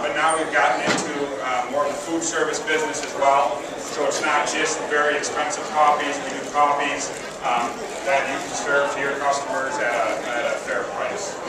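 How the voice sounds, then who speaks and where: A man with a Wisconsin accent giving an informal, plain-spoken product presentation to an audience as a company representative. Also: on the high side. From Wisconsin, United States. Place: large echoey room at a trade food show. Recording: echoey hall, distant mic, crowd noise. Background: crowd chatter.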